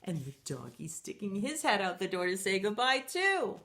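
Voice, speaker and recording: A woman's voice: mid-range voice, sing-song, normal speed, fairly bright tone, a little hiss or hum. A middle-aged woman reading a picture book aloud to young children in a playful, sing-song manner. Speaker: children's storytime reader.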